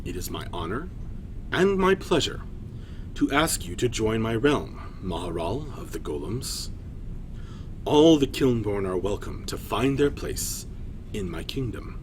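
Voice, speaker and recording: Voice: medium pitch, big pitch swings, measured pace, slight background noise. A man playing a noble warrior king in a fantasy story, speaking formally with gracious command. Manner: formal, declarative.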